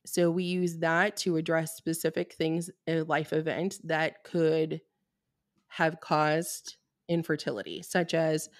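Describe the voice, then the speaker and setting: A woman with a notably low, deep speaking voice, recorded close and dry. She talks as a Reiki fertility healer in a podcast interview and explains things steadily, plainly and bluntly, with many "um"s along the way. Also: no echo. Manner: steady, explanatory, blunt at times, with frequent fillers.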